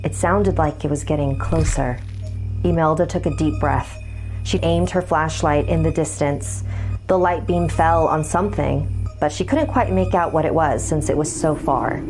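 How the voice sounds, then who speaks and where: A woman with a fairly low voice narrates a scripted horror tale. She is the storyteller, and music runs clearly beneath her voice. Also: normal speed, a little mellow, rough recording. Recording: music behind the voice.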